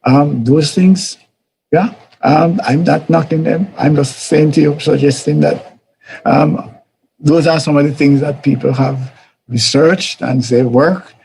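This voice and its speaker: A man with a Jamaican accent teaches a church group in a loose, conversational way, repeating key words and slipping into little quoted voices. His voice sits a bit high and has a gravelly edge. A faint hum of room ambience hangs behind him. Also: fairly even intonation, unhurried, a little mellow, low-quality recording. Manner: conversational, repetitive, chuckles, acts out quoted voices. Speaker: teacher of a church life series. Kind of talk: informal teaching talk.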